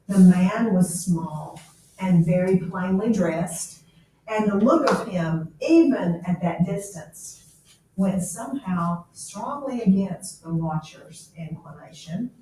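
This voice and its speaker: A woman reading a story aloud like a teacher, pausing to explain it and check that listeners follow. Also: medium pitch, darkish tone. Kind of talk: read-aloud of a classic novel with explanatory commentary. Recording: echoey room, distant mic, faint noise.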